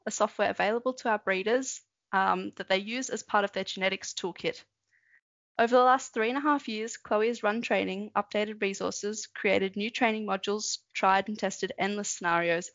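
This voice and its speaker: A woman hosting a podcast, reading a prepared introduction in a clear, measured way and then interviewing her guest. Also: medium pitch, a bit bright, dry recording. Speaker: podcast host.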